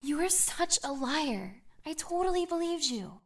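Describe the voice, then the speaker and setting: Soft, hesitant young woman, earnest and a bit anxious. Her voice sits fairly high and sounds a little distant from the mic. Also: sing-song, unhurried, full character voice. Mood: playful. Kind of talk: fan animation voice acting.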